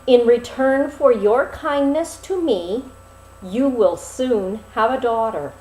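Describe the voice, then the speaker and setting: A woman voicing a small, grateful magical fish in a storybook, making a gentle promise. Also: on the high side, slow pace. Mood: grateful, promising. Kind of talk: storybook character voice. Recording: roomy, echoing sound.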